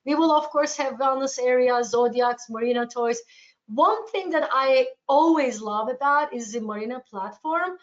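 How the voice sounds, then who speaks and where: A woman's voice: on the high side, lo-fi sound. A woman from Turkey with a Turkish accent, giving an informal, enthusiastic travel sales presentation over a webinar.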